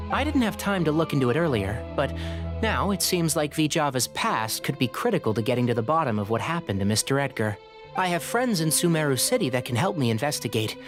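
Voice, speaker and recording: A calm, reasoned ranger scholar. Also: male voice, a little high. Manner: measured, reasoned, reassuring. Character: calm young male forest ranger scholar. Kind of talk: video game voice acting. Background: game music.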